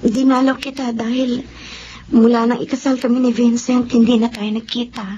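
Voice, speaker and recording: Actress as gentle young Filipino wife, high voice, melodramatic radio serial, muffled lo-fi audio. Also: female voice, flat delivery, moderate pace, a little hiss or hum, lightly acted. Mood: friendly. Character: remorseful, soft-hearted young pregnant Filipina wife. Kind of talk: melodramatic Tagalog radio drama acting. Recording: dull lo-fi radio recording.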